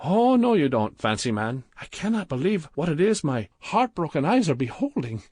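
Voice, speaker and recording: A man voicing an indignant younger male clerk, in a shrill, scolding way. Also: sing-song, normal speed, a little mellow, slightly rough, no room sound.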